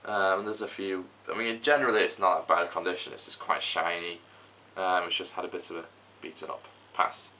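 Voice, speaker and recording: A young man giving an informal tutorial in a halting, conversational way, recorded at home on a camera microphone. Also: sits low, fairly even intonation, pushed and bright, rough recording. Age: young adult. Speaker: host, hobbyist record restorer. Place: small room at home, indoors. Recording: faint background noise, home recording.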